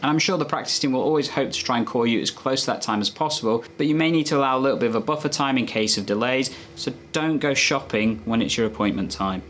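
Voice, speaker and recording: A man's voice: medium pitch, quick pace, hardly any pauses, fairly bright tone. Male presenter with background noise. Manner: conversational, instructive. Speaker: doctor presenting health tips. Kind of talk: presenter explainer to camera, addressing viewers.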